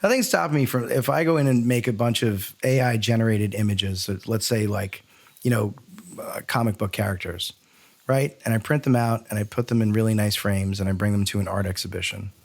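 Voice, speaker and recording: A man co-hosting a podcast, debating casually in a blunt, skeptical way with a gravelly edge to his voice. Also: fairly low pitch, brisk. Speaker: podcast co-host.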